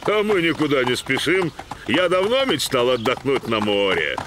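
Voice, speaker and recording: A man's voice: medium pitch, big pitch swings, normal speed, noisy background, natural voice. A man voicing a big, jovial male dog musketeer in a dubbed cartoon, hearty and boastful.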